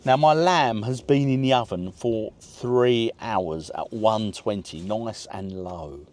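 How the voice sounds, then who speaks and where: A man's voice: lowish voice, slow pace, darkish tone. A middle-aged man chatting casually to his vlog viewers, rambling along in British slang with chirpy greetings. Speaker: vlogger and retro gaming channel host.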